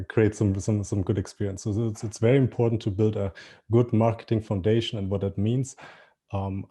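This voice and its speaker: A man with a German accent presents an online marketing webinar. His voice is low and very dark and mellow. He talks in a halting way, stopping and restarting and filling pauses with "um" and "uh". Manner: halting, many fillers and restarts, repeats "with that being said".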